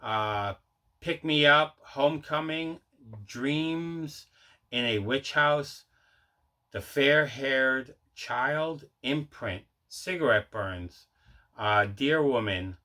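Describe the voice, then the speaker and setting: A man's voice: mid-range voice, extremely slow, pushed and bright. A man hosting a casual unboxing vlog, chatting enthusiastically and often repeating himself. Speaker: host of a collecting video show.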